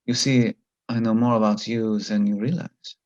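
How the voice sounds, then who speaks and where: An actor playing a menacing, flirtatious military commandant in a stage play reading, speaking slowly and insinuatingly. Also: male voice, lowish voice, level tone, darkish tone, no echo, highs cut off, lightly acted. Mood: silky menace. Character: menacing, flirtatious male Nazi commandant, smugly charming.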